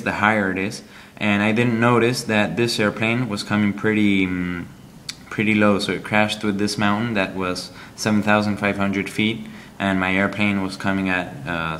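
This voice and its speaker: A man reviewing a game app, walking through its screens in a halting, explanatory way with frequent ums. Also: sits low, fairly bright tone, a little hiss or hum.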